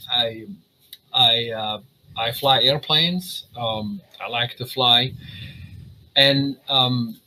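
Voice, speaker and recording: Earnest Syrian-born man, bright tense voice. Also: medium pitch, slight background noise, poor audio. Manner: earnest, halting, many fillers and restarts. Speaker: interventional cardiologist guest. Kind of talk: casual interview talk.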